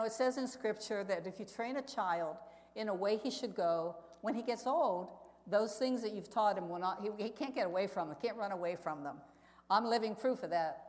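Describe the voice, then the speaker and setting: A woman giving an earnest, conversational church testimony talk as a missionary speaker, telling stories and putting questions to her listeners. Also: mid-range voice. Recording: echoey hall with long reverb.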